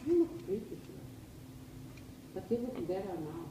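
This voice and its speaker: Coaxing woman, high lively voice. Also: unhurried, roomy sound, distant mic, slight background noise, rough recording. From Soviet Union. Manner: coaxing, reassuring.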